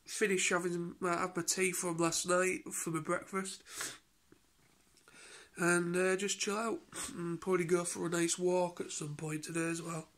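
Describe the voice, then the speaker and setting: A tired, congested British man in his thirties chats into a phone held at arm's length in his living room. His voice sits a little high for a man, and he rambles with halting restarts and fillers. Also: little pitch movement, a bit bright. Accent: British English. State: tired and stuffed up from a cold, sniffling. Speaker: vlogger. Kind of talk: casual daily vlog chat. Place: living room at home, indoors. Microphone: phone camera held at arm's length.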